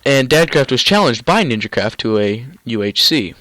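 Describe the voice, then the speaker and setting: A man's voice: mid-range voice, lively intonation, normal speed, a bit bright, dry recording. A young man with a stuffy, nasal cold, rambling casually through gameplay commentary with lots of ums and restarts. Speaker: gaming YouTuber.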